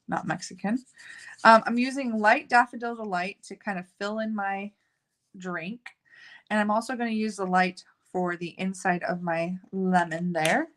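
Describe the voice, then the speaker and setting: A woman's voice: medium pitch, fairly bright tone, a little hiss or hum. A woman hosting a casual live card-making tutorial, chatting as she works and joking about her own slips. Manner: chatty, self-narrating, laughs at own slips.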